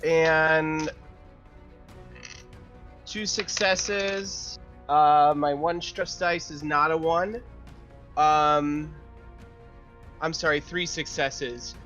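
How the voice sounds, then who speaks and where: A man's voice: a little high, fairly even intonation, drawn-out words, pauses often, fairly bright tone. A man playing a tabletop game, chatting casually and tentatively, with some swearing. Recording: dull lo-fi sound with faint music.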